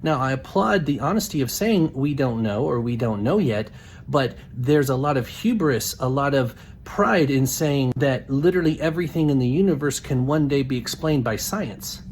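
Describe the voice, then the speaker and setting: A man's voice: medium pitch, expressive, normal speed, a little mellow, slight background noise. A man hosting a debate, speaking in an emphatic, challenging, argumentative way. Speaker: show host debating.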